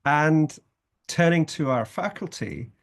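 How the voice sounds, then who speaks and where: A middle-aged British man, a law professor, presenting a university programme in measured phrases with some fillers. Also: on the high side, lively intonation, vocal fry. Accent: British English. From Britain, family from Scotland, raised in England.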